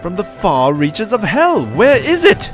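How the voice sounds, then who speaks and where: A man's voice: high voice, huge pitch swings, unhurried, full character voice. A man voicing a clever, smug scholar with a pedantic, drily sarcastic manner, in a comedic fan dub. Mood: exasperated. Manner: sardonic, pedantic, articulate. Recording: music behind the voice.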